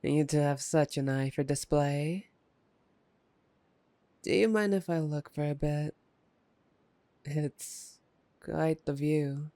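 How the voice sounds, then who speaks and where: A woman's voice: low voice, warm tone, dry recording. A woman playing a taunting, playful, predatory vampire in an ASMR roleplay, with a teasing, mocking drawl. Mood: suave, flattering. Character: taunting, playful, predatory vampire gloating over a captive hunter. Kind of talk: ASMR roleplay audio drama.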